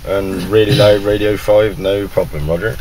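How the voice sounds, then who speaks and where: A man's voice: on the low side, little pitch movement, normal speed, crowd noise, rough recording. A man making casual amateur radio contacts, working down a list in radio jargon. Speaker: radio operator, video maker.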